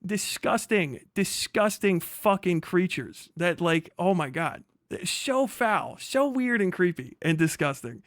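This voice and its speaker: An agitated man delivers a profane livestream rant in a voice a little higher than most men's. The sound is dry and close, and he speaks vehemently, moving between reacting and reading messages aloud. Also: lively intonation, slow pace, hardly any pauses, a bit bright, no room sound. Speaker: livestream host.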